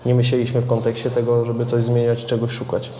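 A man's voice: mid-range voice, monotone, fast talker, dark tone, slight background noise. A young man, a football goalkeeper, answering interview questions casually and hesitantly, often repeating words as he searches for them. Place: club media room, indoors. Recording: echoey room.

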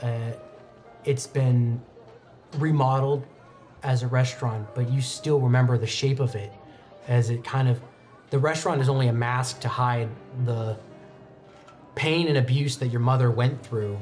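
A man in his twenties narrating a tabletop roleplaying game as game master, conversational and halting, pausing mid-sentence. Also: medium pitch, fairly even intonation, a little mellow. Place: indoor studio set at a game table. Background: background music.

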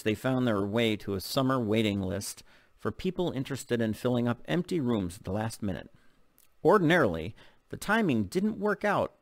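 A man's voice: medium pitch, no room sound, clean studio sound. A middle-aged man reading a novel aloud in an audiobook narration style. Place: open living room at home. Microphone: desk microphone close in front.

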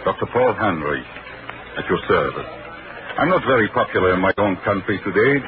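An actor playing a polite, formal Austrian ship's doctor with an Austrian accent, in 1940s radio acting. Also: male voice, fairly low pitch, very animated, a little fast. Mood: courteous. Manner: polite, formal, gently joking. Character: courteous Austrian ship's doctor, middle-aged. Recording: noisy, tinny old radio broadcast.

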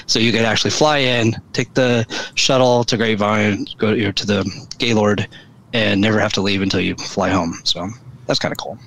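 A middle-aged American man with a fairly low voice talks as a guest on a podcast. He rambles along casually and folksily, often dropping in "you know". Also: fairly bright tone, low-quality recording. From Wyoming, US. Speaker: podcast guest, convention organizer. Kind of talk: casual chat.

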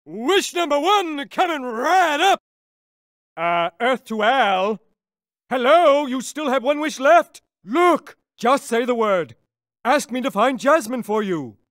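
A man's voice: extremely high pitch, big pitch swings, takes it slowly, a bit bright, no echo, studio quality, exaggerated voice. An actor playing a friendly wisecracking genie in a video game, chummy and playful like a showman. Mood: eager, playful. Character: wisecracking, friendly larger-than-life male genie. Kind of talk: animated video game acting.

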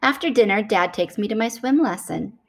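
A woman reading a picture book aloud to children in an expressive, deliberate storytelling style. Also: mid-range voice.

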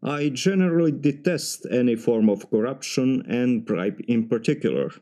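Serbian-accented man, mellow and measured. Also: medium pitch, expressive. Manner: formal, measured, slightly stiff phrasing. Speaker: mechanical engineer practicing test answers. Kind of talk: timed spoken exam practice answers.

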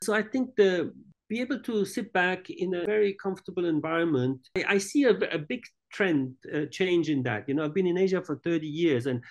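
A middle-aged man who was raised in Italy and Switzerland, giving an interview as head of a hotel group over a video call. He speaks haltingly, with many restarts and fillers. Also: sits high. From born in France, raised in Italy and Switzerland. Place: indoors, room with large painting. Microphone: video call webcam.

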